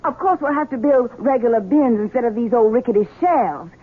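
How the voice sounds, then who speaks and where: An actress playing a proud, stubborn wife in a 1970s radio drama, curt and prickly. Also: female voice, fairly high pitch, fairly quick, slightly rough. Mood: chatty. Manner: curt and prickly, easily dazzled. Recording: lo-fi phone-like old radio broadcast.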